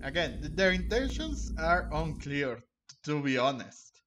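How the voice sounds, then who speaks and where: A man's voice: a little high, sing-song, a little slow, noisy background. A man giving casual let's-play commentary, answering the game's dialogue in a hesitant, rambling way. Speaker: gaming video creator. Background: game music.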